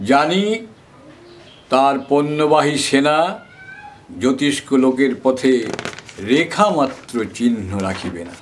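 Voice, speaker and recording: A man reciting poetry in a dramatic, measured, declamatory way with deliberate pauses. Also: mid-range voice. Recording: faint background noise.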